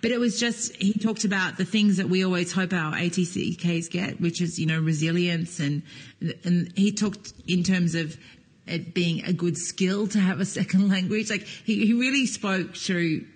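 High-voiced man co-host. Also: moderate pace, long reverb tail. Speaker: podcast co-host. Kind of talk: casual chat.

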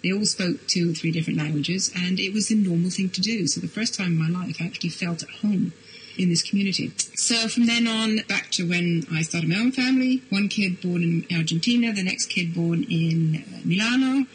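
A woman's voice: fairly low pitch, fairly quick, slight background noise, rough recording. Woman with a British-leaning accent. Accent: British-leaning English. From Switzerland. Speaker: interviewee. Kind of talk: recorded personal account.

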